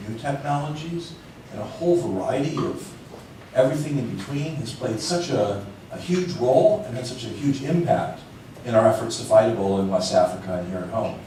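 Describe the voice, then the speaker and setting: A man's voice: mid-range voice, darkish tone, slight background noise. A man giving earnest, reflective remarks as a panelist. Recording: echoey hall, voice picked up from far off.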